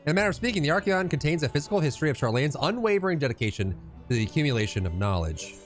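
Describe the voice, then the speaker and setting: A man's voice: on the high side, big pitch swings, rapid-fire, soft background music. A man voicing a proud, learned guide from a fantasy game, explaining in a grand, formal manner. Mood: lecturing.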